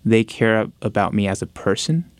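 A man in his twenties who grew up in America, speaking in an interview in a careful, hesitant way, pausing and restarting often. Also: fairly low pitch, animated, clean tone, no echo. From United States. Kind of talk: personal interview talk.

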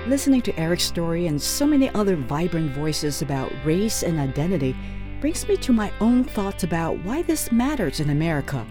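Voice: mid-range voice, big pitch swings, a little fast. 播客主持人朗读旁白，语调起伏生动，背景有清晰的吉他音乐。. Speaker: podcast host. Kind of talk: scripted narration. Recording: narration over clear guitar music. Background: guitar music.

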